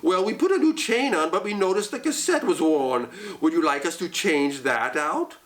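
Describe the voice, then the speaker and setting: A man's voice: fairly high pitch, expressive, moderate pace, fairly bright tone. Brief: a man doing a laid-back mechanic who shrugs off delays. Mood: offhand. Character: offhand bike shop mechanic, young man.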